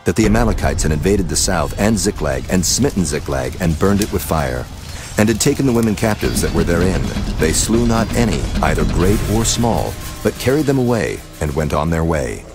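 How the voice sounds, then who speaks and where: A man narrating scripture solemnly from a script in a measured, formal manner. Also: low-pitched, sing-song, over music.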